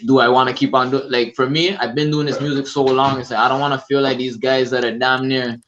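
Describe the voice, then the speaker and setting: A man's voice: medium pitch, little pitch movement, fast talker, fairly bright tone, slight background noise. Laid-back young man, muffled lo-fi sound. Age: 20s. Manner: laid-back, rambling, heavy fillers and restarts. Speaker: guest musician. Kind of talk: casual podcast conversation.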